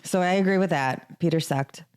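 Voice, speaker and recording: A woman's voice: lowish voice, moderate pace, darkish tone, clear, resonant tone. A woman co-hosting a podcast in a casual, informal conversation about books. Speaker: podcast co-host. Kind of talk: casual book-discussion chat.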